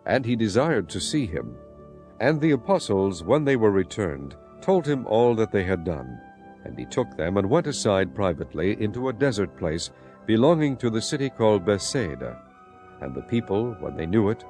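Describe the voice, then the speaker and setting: Male narrator, low pitch, animated intonation, dramatized scripture reading. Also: normal speed, faint music behind.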